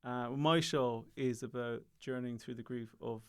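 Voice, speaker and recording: A man's voice: medium pitch, steady tone, a little slow, clean tone. A young Irish man, a comedian guest chatting casually on a podcast, often restarting his sentences. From Ireland. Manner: rambling, self-interrupting, frequent restarts and laughs.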